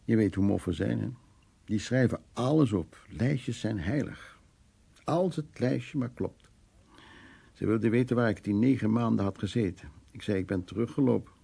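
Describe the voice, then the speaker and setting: Husky, creaky old war survivor. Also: male voice, on the low side, normal speed, mellow, full character voice. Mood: wry, weary reminiscing. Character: stubborn, world-weary older male war survivor, fond and generous. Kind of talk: radio drama acting, reminiscing and intimate dialogue.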